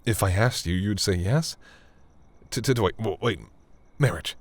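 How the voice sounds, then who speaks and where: A man playing a flustered, tender vampire boyfriend in an intimate audio roleplay, speaking haltingly with stammers and sighs. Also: lowish voice, close to an everyday voice. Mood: flustered, stunned.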